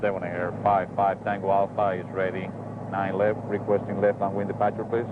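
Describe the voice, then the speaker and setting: A man's voice: sits low, level tone, a little fast. A man working as a pilot, calling out cockpit checks and procedures in clipped, methodical phrases. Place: small aircraft cockpit. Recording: tinny film audio, loud aircraft noise. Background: loud engine drone.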